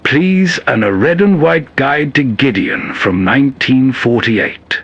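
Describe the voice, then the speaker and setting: A man's voice: medium pitch, expressive, slow, creaky, a bit bright, husky, highs cut off. A man narrating as host in a scripted, atmospheric storytelling style.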